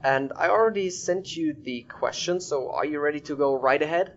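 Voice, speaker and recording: A man's voice: on the high side, normal speed, dull audio, a little hiss or hum. A man hosting a podcast, talking informally and upbeat as he introduces and interviews his guest.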